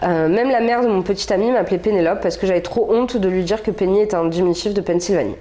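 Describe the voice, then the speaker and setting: A woman's voice: mid-range voice, quick pace, mellow. Young woman, casual co-host; reads a story aloud, loose and chatty. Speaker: podcast co-host reading stories. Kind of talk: casual chat and reading aloud.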